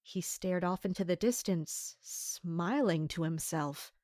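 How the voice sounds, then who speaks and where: A woman playing a dutiful, wary guard captain, speaking and narrating in a formal, measured audio drama style. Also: on the low side, darkish tone, no echo, studio quality. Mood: guarded, pensive. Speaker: narrator and lead character. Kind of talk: scripted first-person audio drama narration.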